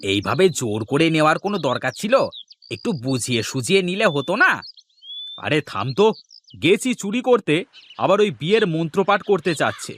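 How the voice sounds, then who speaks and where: Animated, swooping young village fellow. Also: male voice, a little high, normal speed, faint music behind. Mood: scheming. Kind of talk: Bengali children's cartoon voice acting.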